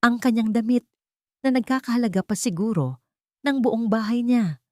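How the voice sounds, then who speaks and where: A woman with a Filipino accent reading scripted, dramatic love-story narration in a measured storytelling manner. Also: on the high side, warm tone, no room sound, studio quality.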